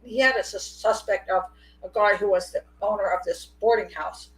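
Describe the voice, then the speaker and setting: A middle-aged woman hosting a live call-in show, chatty and quick to laugh. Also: medium pitch, slight background noise, rough recording. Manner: chatty, laughs often, animated. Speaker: host. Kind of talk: casual live call-in chat.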